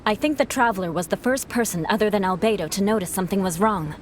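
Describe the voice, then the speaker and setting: An actress playing a lively, earnest young female adventurer in an anime video game, over music. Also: mid-range voice, quick pace, a little hiss or hum, lightly acted. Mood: earnest, curious. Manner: lively, expressive. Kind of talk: scripted anime game acting. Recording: loud game music and effects.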